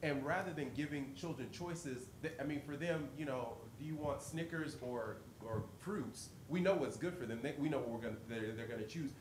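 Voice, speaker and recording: A man's voice: medium pitch, normal speed, hardly any pauses. Guy on a conference panel, playful, stutters into sentences, roomy noisy recording. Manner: playful, repeats words when starting. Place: conference session room. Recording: roomy session recording with noise.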